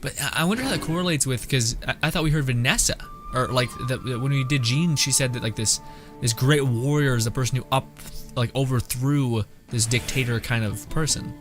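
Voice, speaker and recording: A young man giving casual, rambling gameplay commentary as a streamer, thinking out loud. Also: mid-range voice, a little fast, faint music behind. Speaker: game streamer.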